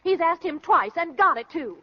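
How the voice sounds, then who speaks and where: An actress playing a blunt, disapproving married daughter in a 1930s radio comedy-drama. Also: female voice, very high-pitched, animated, moderate pace, slight background noise. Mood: indignant. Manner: indignant, blunt. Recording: dull old 1930s radio recording.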